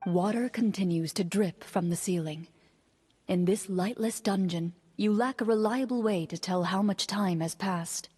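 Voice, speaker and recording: An actress playing a calm, composed swordswoman who speaks in a measured, gentle way. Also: medium pitch, husky, natural voice. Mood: narrating. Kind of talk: game voice acting. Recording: long hall reverb.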